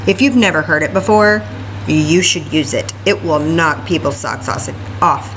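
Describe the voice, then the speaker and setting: A woman's voice: low-pitched, unhurried, a bit bright, a little hiss or hum, poor audio. A woman hosting story time for young children, chatting and reading aloud with exclamations and questions addressed to her little listeners.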